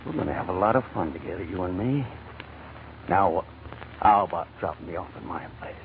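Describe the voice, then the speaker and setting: A menacing gambler and killer who bullies and taunts in tough slang. His pitch moves up and down freely in the manner of vintage radio crime drama. The sound is narrow and phone-like, with faint noise. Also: animated, brisk, vocal fry. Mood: coolly bossy. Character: menacing male gambler and killer, slyly threatening. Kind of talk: old-time radio crime drama acting. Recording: muffled, phone-like old radio recording, noisy.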